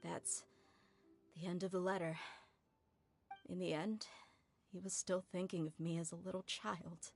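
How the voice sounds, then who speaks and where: An actress playing a tough but warm-hearted mercenary woman in an anime game, speaking frankly and informally. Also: lowish voice, level tone, unhurried, long pauses, soft background music. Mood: wistful.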